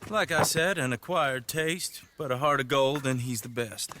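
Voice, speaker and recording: An actor playing a genial, folksy hired gun with friendly, reassuring frontier talk in a game cutscene. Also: male voice, medium pitch, moderate pace, heavy background noise, natural voice.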